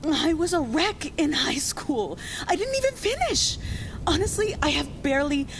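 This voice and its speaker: A woman's voice: sits high, very animated, moderate pace. An actress playing an anxious, placating captive young woman in an audio drama, hesitant, pleading and rambling. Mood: distressed, pleading. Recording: noisy ambience behind the voice.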